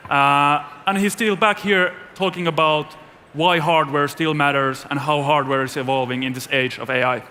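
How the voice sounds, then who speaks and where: A man's voice: fairly high pitch, steady tone, moderate pace, bright, forceful tone. A young man hosting on stage, delivering a scripted introduction. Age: young adult. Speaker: stage host. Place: event stage in a large venue. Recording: echoey large hall, noisy.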